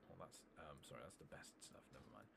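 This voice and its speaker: A man giving soft, unhurried ASMR game commentary, often dropping to a breathy whisper, his voice recovering from a cough and cold. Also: noisy background. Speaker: host of a gameplay channel.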